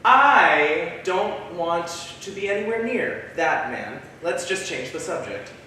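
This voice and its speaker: A man acting an animated, gossipy young student in a staged play reading. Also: sits high, slow pace, heavily acted. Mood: evasive. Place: small theater stage. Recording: echoey theater, distant mic, faint noise.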